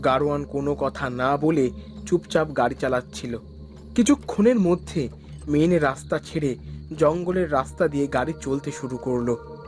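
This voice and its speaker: A man's voice: fairly high pitch, normal speed, darkish tone, soft background music. A man giving a scripted first-person narration of a horror story.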